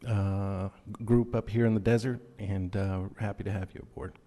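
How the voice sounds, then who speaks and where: A man on a city council speaking at a public meeting, halting with many fillers, then stern and reproachful. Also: lowish voice, steady tone, mellow, long reverb tail. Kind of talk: formal public statement at a meeting. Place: council chamber.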